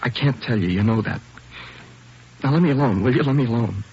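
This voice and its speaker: A man's voice: mid-range voice, a little fast, breathy edge. An actor playing a frightened, shaken teenage boy who pleads in a strained voice in a vintage radio drama. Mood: pleading. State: shaken, near tears. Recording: muffled old radio recording.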